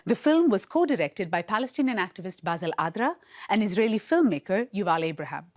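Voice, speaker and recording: Female correspondent, steady scripted news read. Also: medium pitch, brisk. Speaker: reporter.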